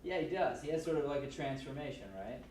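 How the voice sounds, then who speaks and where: A man's voice: medium pitch, animated, quick pace. Enthusiastic man interviewing in an echoey hall. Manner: enthusiastic, showman-like, calling people over loudly. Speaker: interviewer at a kids' film camp. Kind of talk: upbeat on-camera interviews. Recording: echoey room, far from mic, noisy.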